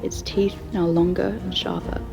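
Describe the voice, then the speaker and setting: A woman's voice: on the low side, level tone, moderate pace, vocal fry, over music. A woman narrating a scripted audio story.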